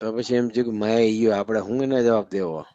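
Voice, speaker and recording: A man's voice: on the low side, level tone, brisk, mellow, dry recording. A man with a Gujarati accent chatting bluntly and colloquially in a recorded phone call. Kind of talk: casual private phone chat.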